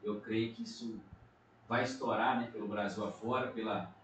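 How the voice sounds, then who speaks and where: A man's voice: fairly low pitch, fairly even intonation, normal speed. Man, Minas Gerais accent, middle-aged pastor, earnest testimony, faint background hiss, far from mic. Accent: Brazilian Portuguese, Minas Gerais. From Brazil. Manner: earnest, frequent tag questions. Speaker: pastor, podcast guest. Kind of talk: testimony in casual conversation. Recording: echoey room, distant mic, faint noise.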